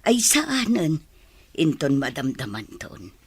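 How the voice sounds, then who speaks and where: A woman playing an elderly grandmother in a radio drama, speaking hesitantly and earnestly to her grandchild in a husky voice. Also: sits low, wildly swooping pitch, takes it slowly, no room sound, heavily acted. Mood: earnest, pleading. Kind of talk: Ilocano radio drama acting.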